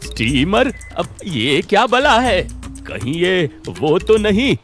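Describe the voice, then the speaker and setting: A man voicing an excitable, suspicious old village uncle in an animated Hindi cartoon story, alarmed and gullible. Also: high voice, slow pace, faint music behind, heavily acted.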